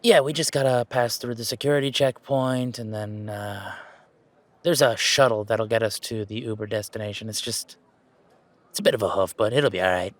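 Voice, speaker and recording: A man's voice: fairly low pitch, steady tone, normal speed, no room sound, close to an everyday voice. A man playing an affectionate, anxious young gamer in a conversational audio roleplay, talking in a hesitant, rambling way. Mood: fond, rambling. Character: affectionate, anxious, self-deprecating young gamer, wary of family.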